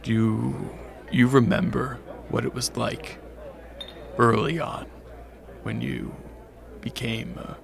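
An actor playing a weary, protective vampire count in a radio drama, speaking in a measured, halting, understated way. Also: male voice, medium pitch, level tone, vocal fry, a crowd in the background. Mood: subdued, regretful.

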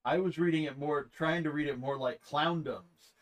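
A man hosting a podcast, asking easygoing, halting questions and laughing often. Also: fairly high pitch. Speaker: podcast host. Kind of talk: casual interview chat.

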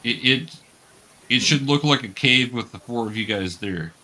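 A man's voice: medium pitch, normal speed, bright, forceful tone, poor audio. A man guiding players through an online tabletop role-playing game, explaining patiently step by step with some hesitation. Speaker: dungeon master, teacher.

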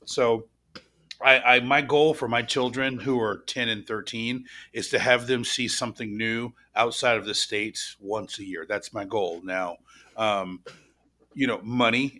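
A man from the US west coast in his thirties, co-hosting a podcast in relaxed casual chat. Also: mid-range voice. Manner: relaxed, chatty, repeats words while starting sentences. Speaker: podcast co-host.

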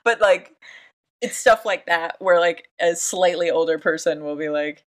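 A woman co-hosting a podcast in casual chat, an animated storyteller who laughs often and acts out what people said. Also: fairly low pitch, unhurried. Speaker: podcast co-host.

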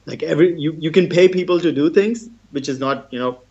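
A young man from India, a guest in a casual interview, relaxed and chatty, laughing often. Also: a little high, a little fast, slightly rough, highs cut off.

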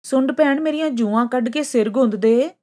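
Woman as a high-pitched, pleading female cat. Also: moderate pace, dark tone.

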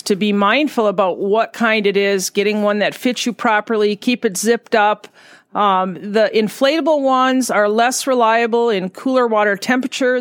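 A woman's voice: medium pitch, no room sound. A woman hosting a live fishing podcast, asking questions casually with many fillers. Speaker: podcast host. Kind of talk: casual interview. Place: fishing camp, recorded live.

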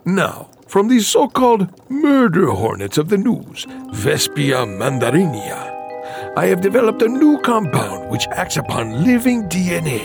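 An actor playing a proud, eccentric scientist, formal and theatrical, in a campy audio drama. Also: male voice, sits high, huge pitch swings, a little slow, gravelly, music in the background, full character voice. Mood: eager, boastful. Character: eccentric foreign male scientist, proud and eager.

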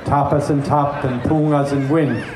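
A man's voice: medium pitch, steady tone, echoey room, background noise. Man announcing over a crowd. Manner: halting, with many fillers. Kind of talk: stage announcements.

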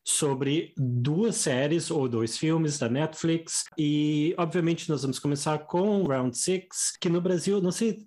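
A man with a southern Brazilian gaúcho accent talks easily on a casual podcast. His voice sits fairly high for a man, and he explains things in a plain, agreeable way. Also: takes it slowly, few pauses, darkish tone. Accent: Brazilian Portuguese, gaúcho. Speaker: podcast co-host, Portuguese teacher.

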